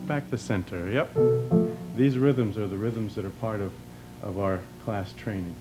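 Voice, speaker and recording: A man's voice: lowish voice, unhurried, poor audio. Man coaching movement over prominent guitar music. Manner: encouraging, short repeated cues while moving. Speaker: pantomime performer and teacher, guest.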